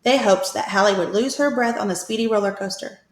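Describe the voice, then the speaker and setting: A woman reading a picture book aloud to children as a teacher, with expressive, deliberate storytelling. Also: mid-range voice. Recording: echoey room.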